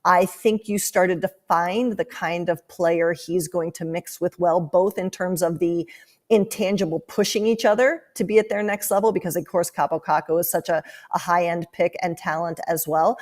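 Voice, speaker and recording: A woman's voice: fairly low pitch, a little mellow. A woman giving conversational sports analysis as a studio analyst guest.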